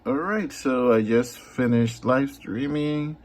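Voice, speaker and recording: A man talking casually in a vlog about his comics and live streams, unhurried, with many ums. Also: medium pitch. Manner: unhurried, lots of ums and filler. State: a little hoarse. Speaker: comic artist and YouTuber.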